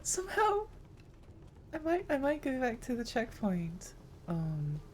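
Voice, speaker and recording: A woman's voice: high voice, huge pitch swings, slow, a little hiss or hum. A woman streaming a game live, chatting in a rambling, giggly way while her voice strains and tires. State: strained, tiring voice.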